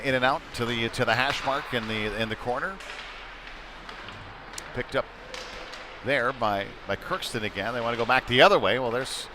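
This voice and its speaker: A man with a medium-pitched voice does live hockey play-by-play from a noisy indoor rink. He talks conversationally, following the puck, and is quick to lift into excited calls when the action heats up. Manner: conversational, breaks into excited calls during scoring chances. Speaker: play-by-play sports commentator. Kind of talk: live youth hockey commentary. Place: indoor ice rink during a game. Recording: clearly noisy sports rink behind voice.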